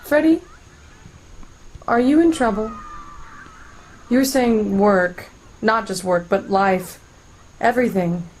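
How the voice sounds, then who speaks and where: A woman's voice: medium pitch, takes it slowly, long pauses, a little mellow, slight background noise, close to an everyday voice, low-quality recording. An actress playing a tender, concerned woman in love, in a radio play. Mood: tender, hesitant.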